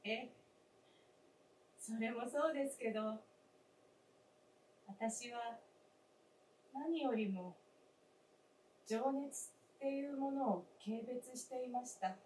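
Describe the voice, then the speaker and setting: An actress playing the gentle, wistful spirit of a dead wife in a staged radio drama, with polite, old-fashioned feminine speech and deliberate phrasing. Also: female voice, on the high side. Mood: wistful, confessing. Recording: roomy stage, distant mic, faint noise.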